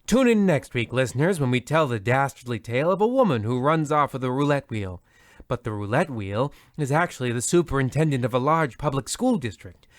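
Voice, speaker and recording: A man playing the droll host of a spooky old-time radio show parody, signing off in deadpan scripted narration. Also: medium pitch, brisk, no echo, clean studio sound, lightly acted.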